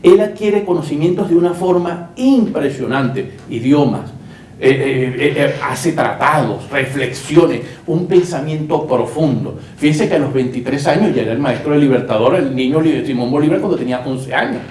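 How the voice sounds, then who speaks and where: A man talks through history at an unhurried pace, stumbling over and repeating small words. His voice sounds roomy and a little far from the mic, with a faint hiss in the background. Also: a little high, expressive, a little mellow. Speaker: guest, lawyer and writer. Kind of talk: conversational storytelling.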